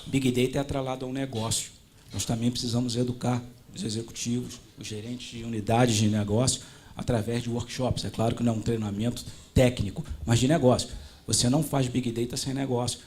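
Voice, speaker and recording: Male panelist, mellow, monotone, measured. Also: medium pitch, slight background noise. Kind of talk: conference panel talk.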